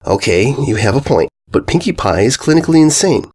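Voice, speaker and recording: Guy doing a fed up cartoon pony lady, snippy, can't believe what she's hearing, lively pitch. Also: a little fast, husky. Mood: exasperated. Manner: snippy, incredulous. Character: exasperated, grumpy adult cartoon pony mare.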